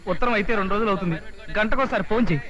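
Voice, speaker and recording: A man's voice: high-pitched, dull audio, lightly acted. An actor playing a doting young husband in a radio play, whining and pleading with his wife not to leave. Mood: fussy. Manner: pleading, fussy, quick.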